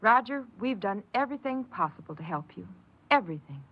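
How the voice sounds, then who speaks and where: An actress playing a stern, disappointed woman supervisor in a 1950s radio drama, with a husky voice. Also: medium pitch, expressive, brisk. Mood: grave. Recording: muffled 1950s radio recording.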